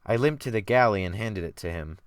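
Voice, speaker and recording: A man narrating a literary audiobook in a theatrical style with deliberate phrasing, close to the microphone. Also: on the low side, lively intonation, dry recording. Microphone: close USB desktop microphone.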